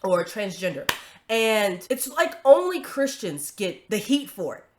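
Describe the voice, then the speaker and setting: A woman's voice: mid-range voice, big pitch swings, measured pace, noisy background. Stuffy-nosed woman host chatting. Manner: chatty, emphatic, tag questions. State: stuffy from a cold. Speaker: commentary video host. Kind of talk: casual commentary to camera.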